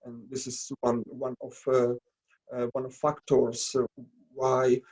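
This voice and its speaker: A man from the Czech Republic with a Czech accent, presenting slides in a webinar in halting English full of pauses and restarts. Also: medium pitch, monotone, a little mellow, low-quality recording. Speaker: webinar presenter, nursing educator. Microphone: video call.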